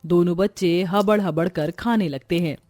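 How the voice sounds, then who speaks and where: A woman's voice: lowish voice, moderate pace, dark tone, no echo, soft background music. A woman reading scripted narration for a cartoon story.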